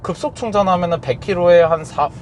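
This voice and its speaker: A man around thirty giving casual interview answers, often pausing, searching for words and laughing softly. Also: high-pitched, slight background noise. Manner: hesitant, searching for words with fillers and soft laughs. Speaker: car owner interviewee.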